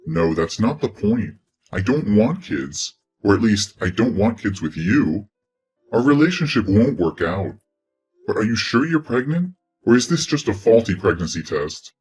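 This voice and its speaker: A man's voice: on the low side, big pitch swings, measured pace, darkish tone, soft background music. An actor in his twenties playing a selfish, career-focused young man who speaks in a defensive, dismissive way. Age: 20s. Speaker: reluctant boyfriend. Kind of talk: scripted relationship drama.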